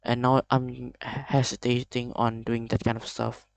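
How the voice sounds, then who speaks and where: A young man with an Indonesian accent, speaking English as a second language in a hesitant, halting confessional vlog full of restarts. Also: mid-range voice, flat delivery, gravelly, no room sound. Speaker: video creator.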